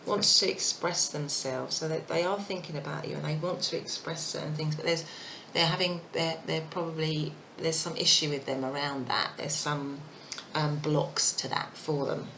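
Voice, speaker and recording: A woman giving a casual tarot reading, thinking aloud with many fillers, her voice croaky and husky. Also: low-pitched, fairly even intonation, normal speed, fairly bright tone, a little hiss or hum, low-quality recording. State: croaky voice, clearing throat. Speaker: tarot reader.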